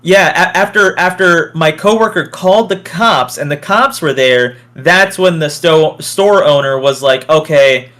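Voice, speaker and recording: A man chatting casually as a podcast co-host, telling stories in a halting, informal way. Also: a little high, a bit bright. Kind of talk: casual podcast chat, storytelling.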